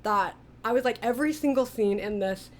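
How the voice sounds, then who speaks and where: Higher-voiced chatty, giggly woman. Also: fairly quick. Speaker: writer, director and interview host. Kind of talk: casual interview chat. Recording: faint background noise.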